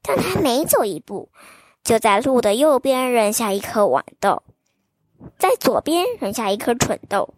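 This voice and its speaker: A woman storyteller reading a fairy tale to children in a slow, expressive, sing-song way. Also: on the high side, no room sound, clean studio sound.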